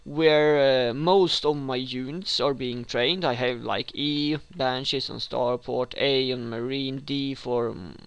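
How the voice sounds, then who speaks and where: A man's voice: medium pitch, fairly even intonation, slow, few pauses, clean tone, no echo. A young man from Sweden with a Swedish accent, hosting a rambling game tutorial with many hesitations and restarts. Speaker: gaming tutorial host.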